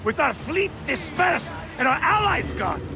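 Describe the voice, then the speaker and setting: An actor voicing a gruff old sea captain in a video game, bellowing and florid, over loud music and battle at sea. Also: male voice, very high voice, lively intonation, measured pace, crowd noise, background noise, heavily acted. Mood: defiant, urgent. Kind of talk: video game dramatic acting.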